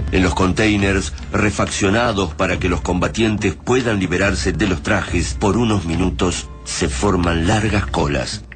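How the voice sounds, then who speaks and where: A solemn Argentine narrator of a science-fiction war radio play, his voice low and measured. Prominent music runs behind him. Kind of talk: scripted radio drama narration.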